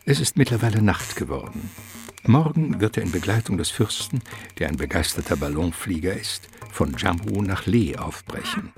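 Male narrator, very low pitch, gravelly creak, suspenseful, deliberate, literary radio drama narration. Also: lively intonation. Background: prominent music.

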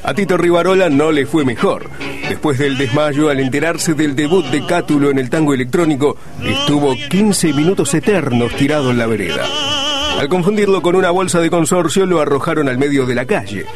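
A man with an Argentine accent narrates a radio serial. His voice sits fairly high, with a theatrical, mock-solemn delivery that draws words out over clearly audible music. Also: a little fast. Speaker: radio drama narrator and announcer.

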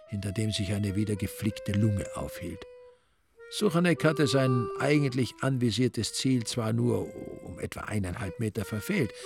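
A man's voice: on the low side, gravelly. A man with an Austrian accent narrating a radio crime play in a dry, ironic storytelling manner.

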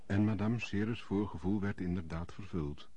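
An actor playing the sober narrator of a historical radio drama, with a husky voice and scripted delivery. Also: male voice, low voice, moderate pace, mellow, dry recording. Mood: grave.

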